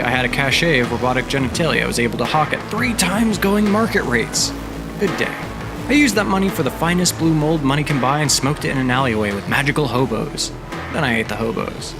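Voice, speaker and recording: A man's voice: on the high side, voice pushed up, close to an everyday voice. A man doing a pompous, unhinged lizard-man preacher. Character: bizarre male lizard-man cult founder, grandiose. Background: background music.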